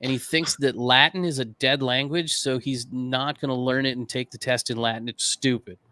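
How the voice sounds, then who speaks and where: A man with a bright, crisp voice at a middle pitch, co-hosting a podcast. He narrates in a casual, chatty storytelling style, recorded dry. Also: fairly even intonation, normal speed, no echo. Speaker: podcast co-host.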